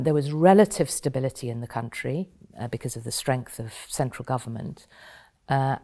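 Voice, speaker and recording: Woman in her 50s from iran, super low mellow voice, talks slow and thoughtful with lots of ums. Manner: measured and thoughtful, with frequent ums. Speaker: bishop, podcast guest. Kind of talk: reflective interview storytelling.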